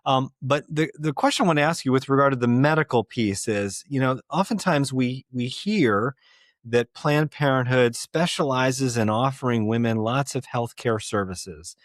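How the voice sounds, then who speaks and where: A man hosts a podcast interview at a middle pitch, measured and unhurried, with plenty of small fillers. The sound is dry, with no room around him. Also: no echo. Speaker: podcast host.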